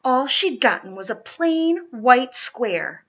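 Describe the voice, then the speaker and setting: Bright, slow-paced woman reading a storybook. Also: high voice, very animated, dull audio, a little hiss or hum. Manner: dramatic, deliberate storytelling with stressed key words. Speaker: storybook reader. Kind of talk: expressive children's picture book read-aloud.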